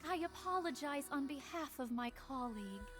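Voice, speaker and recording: A woman's voice: sits high, animated, moderate pace, music in the background. A woman voicing a prim, courteous young lady warrior in a game, formal and very polite. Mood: prim, apologetic.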